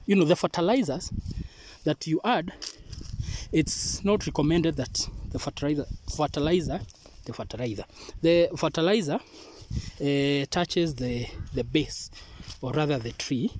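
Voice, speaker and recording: A Kenyan man, a native Swahili speaker, talks a listener through a farm task outdoors, pausing often and drifting from the mic as he works with his hands. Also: on the high side, darkish tone, slight background noise. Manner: unhurried, hesitant with many pauses. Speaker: avocado farmer. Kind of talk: hands-on farming demonstration.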